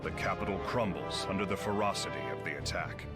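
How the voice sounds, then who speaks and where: Grave narrator over loud music. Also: male voice, deep voice. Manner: grave, measured. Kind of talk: scripted narration.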